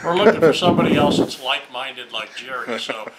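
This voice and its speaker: A man's voice: mid-range voice, a little fast. A man talking as a playful, folksy magician in casual showman chat. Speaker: magician, guest. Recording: loud room noise behind.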